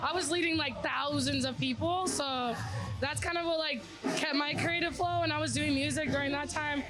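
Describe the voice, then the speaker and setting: A woman's voice: high-pitched, steady tone, moderate pace, rough recording. A woman musician chatting casually as an interview guest. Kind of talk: casual chat. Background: music from a live show.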